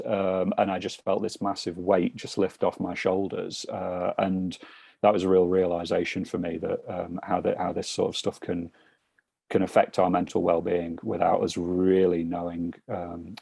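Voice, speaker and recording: Gravelly low British man, halting. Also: little pitch movement, mellow, dry recording. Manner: hesitant, many ums and restarts. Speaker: financial mentor. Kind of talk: casual chat on a video call.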